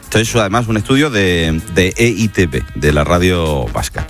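A man's voice: deep voice, expressive, moderate pace, faint music behind. A man with an accent from Spain hosting a casual radio chat. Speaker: radio show host.

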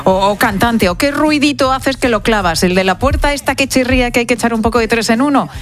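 A woman's voice: a little high, fast, faint music behind. A middle-aged woman with an accent from Spain, a radio host chatting casually and playfully with her co-host and listeners. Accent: Spanish from Spain. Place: radio studio.

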